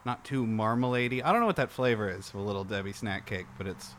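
A man chatting casually and jokily as a podcast co-host, rambling and halting as he goes. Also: on the low side, background noise. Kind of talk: casual comic chat among friends.

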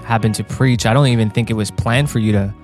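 A man's voice: lowish voice, fairly even intonation, fast talker, darkish tone, soft background music. A man hosting a podcast, introducing and interviewing a guest in a friendly, upbeat, casual way. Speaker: podcast host.

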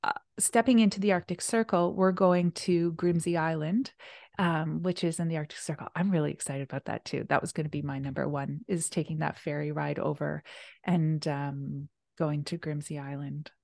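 Female retreat host, casual and enthusiastic, gravelly voice, drifts into soft near-whispers. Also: low voice, fairly even intonation, moderate pace, no echo, clean studio sound. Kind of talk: casual conversation.

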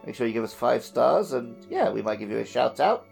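A man's voice: medium pitch, very animated, fairly quick, gravelly, a little mellow, faint music behind. A man co-hosting a gaming podcast, talking in a casual, jokey and informal way. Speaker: podcast co-host.

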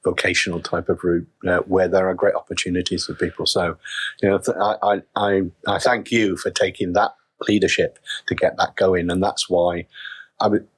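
A middle-aged man from South Wales speaks in a low, husky voice, with only a faint trace of a Welsh accent left. He talks in a measured, reflective way as a chief executive being interviewed, often restarting his sentences. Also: little pitch movement. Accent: faint Welsh, mostly neutral. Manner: measured, with frequent restarts and fillers.

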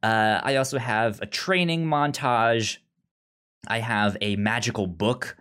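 A man's voice: mid-range voice, unhurried, a bit bright, smooth. A man co-hosting a podcast in casual, unscripted chat, hesitant and often stammering through repeated false starts. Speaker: podcast co-host. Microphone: remote online call.

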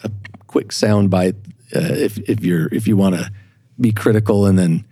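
Deep mellow guy, kinda raspy, guest expert explaining, halting and repeats words a lot. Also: no room sound. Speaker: podcast guest, church historian. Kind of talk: explanatory discussion.

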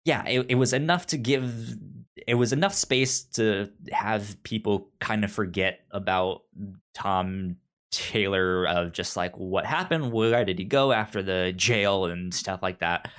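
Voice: deep voice, a little slow, a bit bright. A podcast co-host casually discussing books in a hesitant, stammering way.

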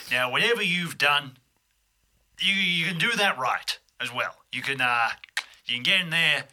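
A man playing a bumbling, breezy, forgiving police chief in an improvised audio drama, talking in a halting, distracted ramble. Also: mid-range voice, sharp tone.

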